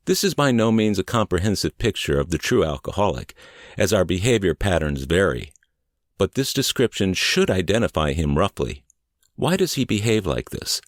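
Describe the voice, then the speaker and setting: A man reading scripted audiobook narration in a measured, deliberate, clearly phrased way. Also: sits low, dry recording, studio quality. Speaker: audiobook and podcast reader.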